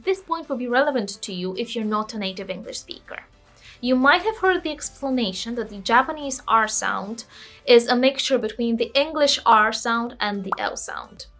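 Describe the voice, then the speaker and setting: A woman's voice: fairly high pitch, expressive, moderate pace, fairly bright tone, soft background music. A woman in her twenties from Bulgaria, a native Bulgarian speaker, narrating a scripted vlog in a candid, self-deprecating way. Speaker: language-learning vlogger.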